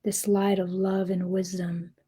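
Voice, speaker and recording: A woman guiding a meditation in a soft, breathy, gentle voice, speaking deliberately with long pauses. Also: lowish voice, little pitch movement. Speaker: meditation guide. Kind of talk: guided meditation, slow soothing narration.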